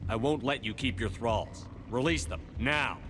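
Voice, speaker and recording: An actor playing a resolute, blunt military commander in a video game, speaking tersely and firmly. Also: male voice, medium pitch, measured pace, music in the background, natural voice. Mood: demanding. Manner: terse, firm.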